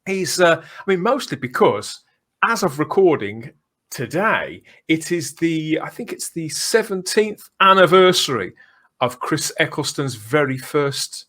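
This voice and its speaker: A man's voice: on the high side, sing-song, measured pace, bright, forceful tone. A man hosting a fan podcast in a chatty, playful and effusive way. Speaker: podcast host.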